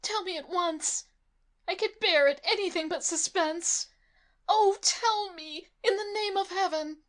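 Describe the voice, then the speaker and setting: Shrill, slow, pleading elderly lady. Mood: frantic. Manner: pleading, high and trembling. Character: frantic old lady, fearful.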